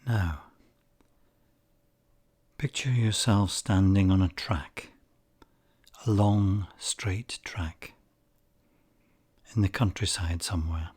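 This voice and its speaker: A man guiding a meditation, speaking softly and calmly, some phrases nearly whispered. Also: low voice. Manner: soft-spoken, calm and unhurried, with breathy near-whispered phrases. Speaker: meditation guide. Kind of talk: slow guided meditation.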